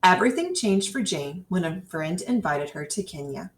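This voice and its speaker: A woman reads an educational nonfiction text aloud as a scripted narration, with careful, clearly phrased delivery. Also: lowish voice, animated, moderate pace. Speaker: reader. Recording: distant microphone.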